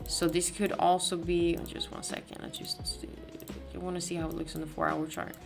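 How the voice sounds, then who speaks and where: A woman's voice: fairly low pitch, little pitch movement, normal speed, creaky, music in the background. A woman from Sweden with a Swedish accent, hosting a live crypto market stream, talking casually with many restarts and fillers as she reads the chat. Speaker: crypto livestream host.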